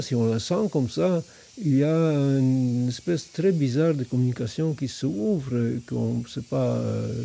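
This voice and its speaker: A middle-aged man speaking French with a Polish accent, his voice low and mellow with a gravelly edge. He talks like a Buddhist monk reflecting aloud, halting and pausing as he searches for words. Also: a little hiss or hum. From Poland. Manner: halting, thoughtful, many pauses. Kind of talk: reflective spoken explanation.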